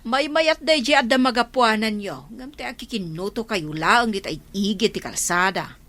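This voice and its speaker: A woman's voice: on the high side, normal speed, a bit bright, no room sound. Bantering village councilwoman, played by an actress. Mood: brisk, chiding. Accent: Ilocano Filipino. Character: female village councilor, busy, brisk and bantering. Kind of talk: Ilocano radio comedy drama acting.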